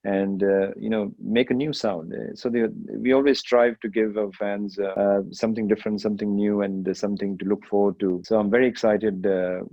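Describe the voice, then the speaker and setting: A middle-aged man from Kenya, a singer answering interview questions in a relaxed way, slipping Hindi phrases into his English. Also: lowish voice, fairly quick, few pauses, no echo, lo-fi sound. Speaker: rapper and singer.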